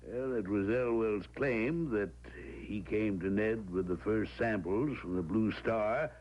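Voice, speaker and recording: Nervous evasive small-town man, old radio drama, low-ish voice, lots of pitch swing, dull old audio. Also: unhurried. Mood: hesitant. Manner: hesitant, full of 'well' and 'uh'.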